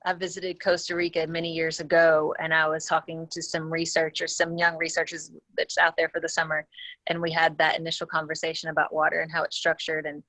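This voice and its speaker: A woman's voice: low voice, completely flat delivery, fairly quick, a bit bright, dry recording, low-quality recording. A woman taking part as a guest on a conference call, talking conversationally and thinking aloud with hesitant phrasing. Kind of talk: conversational, reflective account.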